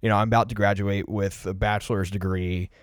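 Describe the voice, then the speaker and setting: Deep-voiced young man chatting on a podcast. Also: level tone, brisk, no echo, clean studio sound. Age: early 20s. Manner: reflective, explanatory, filler-heavy with "like" and "you know". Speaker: podcast co-host discussing favorite films. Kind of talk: casual podcast conversation.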